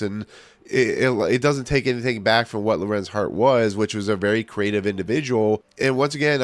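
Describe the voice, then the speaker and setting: A man's voice: lowish voice, clean tone, no room sound. A man losing his voice, casually reviewing a film on his channel, rambling with lots of fillers and restarts. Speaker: movie reviewer, channel host.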